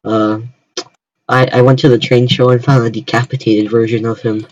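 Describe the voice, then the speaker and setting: A young American man chatting casually on a livestream, talking haltingly with many repeated starts. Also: mid-range voice, little pitch movement, lo-fi sound. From United States. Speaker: streamer.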